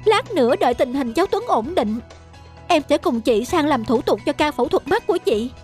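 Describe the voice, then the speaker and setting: A woman's voice: high voice, lively intonation, brisk, a little mellow, faint music behind. A remorseful young woman. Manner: pleading and earnest. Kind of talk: cartoon voice acting.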